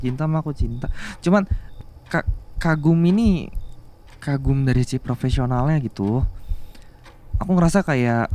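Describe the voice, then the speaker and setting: A young man with an Indonesian accent playing a shy, adoring office worker in love with his boss, in an intimate ASMR roleplay, speaking haltingly and affectionately. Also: mid-range voice, close to an everyday voice. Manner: hesitant, halting, softly affectionate. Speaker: voice actor in an audio roleplay.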